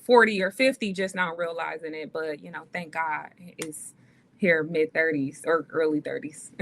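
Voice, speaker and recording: A woman's voice: low-pitched, measured pace, creaky, a bit bright, a little hiss or hum. A woman in her thirties, a podcast guest, talking earnestly and casually with many fillers. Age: 30s. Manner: earnest, faith-driven, many "um"s and "like"s. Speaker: guest, actress and author.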